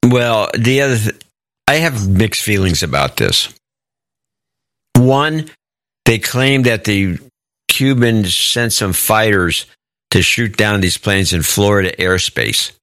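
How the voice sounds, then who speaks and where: A man with a lowish voice that moves around a lot in pitch, recorded dry and close. He hosts casually, raising skeptical questions as he thinks out loud. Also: expressive, slow pace, no echo. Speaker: talk show host. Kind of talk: casual conversational commentary.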